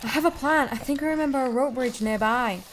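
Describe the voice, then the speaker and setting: A woman's voice: sits high, fast talker, slight background noise, lightly acted. A woman playing an earnest, determined young female adventurer who speaks with urgency in a radio drama. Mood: breathless urgency.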